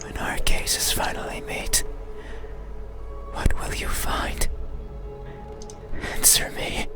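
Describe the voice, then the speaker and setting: A performer voicing an eerie, hollow, mysterious being in a breathy, halting whisper for a horror game. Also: natural voice. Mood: menacing, probing. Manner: slow, halting, hushed delivery. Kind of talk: horror visual novel voice acting. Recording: whisper under loud music. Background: loud music.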